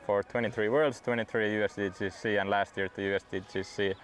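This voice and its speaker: A young man whose first language is Swedish, chatting in a relaxed interview and padding his sentences with "like". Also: fairly low pitch. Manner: relaxed, fills every pause with "like". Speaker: guest disc golf player. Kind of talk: casual interview chat.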